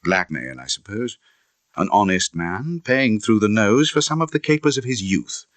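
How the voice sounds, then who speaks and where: A man voicing an indignant Victorian gentleman with a husky British voice, telling a grim tale in an emphatic, theatrical audiobook style. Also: fairly low pitch, expressive, normal speed, dry recording, lightly acted. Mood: disapproving, musing. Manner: emphatic, theatrical, conversational asides. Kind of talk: dramatised audiobook storytelling.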